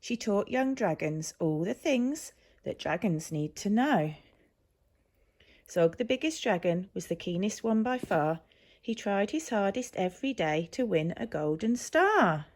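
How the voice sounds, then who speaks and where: A woman reading a children's picture story aloud with expressive, emphatic delivery, like an infant school teacher. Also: mid-range voice. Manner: animated, measured storytelling with emphasis.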